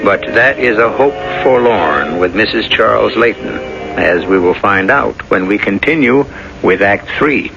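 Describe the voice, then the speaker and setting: A man hosting a radio mystery drama, delivering scripted narration in a solemn, measured, literary manner. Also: lowish voice, animated, gravelly. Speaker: host and narrator. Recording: muffled 1970s radio, music underneath. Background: music.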